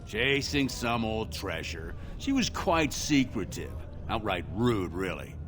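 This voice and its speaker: An actor playing a gossipy, disapproving dwarf townsman in a fantasy game, telling tales with expressive indignation. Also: fairly low pitch, slow pace, background noise. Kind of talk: video game voice acting.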